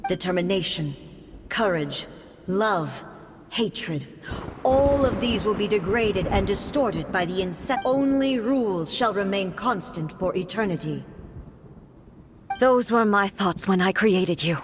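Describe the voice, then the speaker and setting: A stern, regal warrior goddess from a video game. She speaks in formal, measured pronouncements at a mid pitch. A faint hiss sits under the game audio. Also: animated. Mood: solemn. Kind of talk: video game cutscene dialogue.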